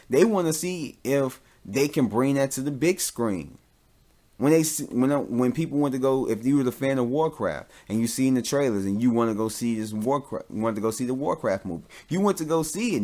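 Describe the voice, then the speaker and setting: A man's voice: medium pitch, darkish tone. A man talking casually as a podcast host, giving opinions in an informal, rambling way and often repeating himself.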